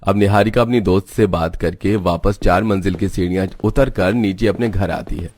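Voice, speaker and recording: Male story narrator, low pitch, scripted cartoon storytelling, music underneath. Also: normal speed, a little mellow. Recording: music behind the voice.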